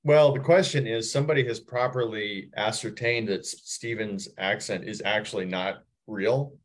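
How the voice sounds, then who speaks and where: A man's voice: on the low side, normal speed. A man chatting casually on a group video call, making wry, emphatic exaggerations as a panelist. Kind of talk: casual chat.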